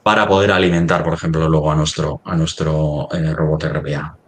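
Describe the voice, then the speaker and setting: Very deep-voiced man giving a demo. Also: level tone. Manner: halting, thinking aloud while working on screen. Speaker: software demo presenter. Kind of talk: live webinar product demonstration.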